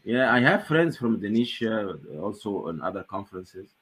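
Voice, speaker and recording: A man's voice: fairly low pitch, animated, moderate pace, fairly bright tone, breathy edge, poor audio. A man chairing an academic conference session on a video call, chatting casually with many fillers and restarts.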